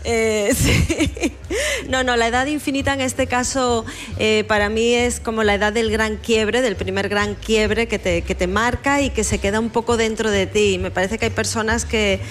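A woman's voice: fairly high pitch, fairly even intonation, normal speed, slight background noise. A woman novelist talking about her book in a casual radio interview, reflective and explanatory, often ending ideas with a questioning tag. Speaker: novelist guest.

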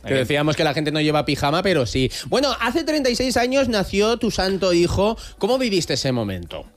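Lively, animated male radio host. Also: sits high. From Basque Country. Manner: lively, teasing, fast. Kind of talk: casual radio chat.

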